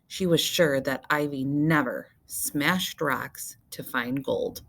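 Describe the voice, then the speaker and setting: A woman with a low voice narrates a children's book. She reads like a teacher to young listeners, expressively, with deliberate emphasis on key words. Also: creaky, background noise. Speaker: teacher reading aloud to children.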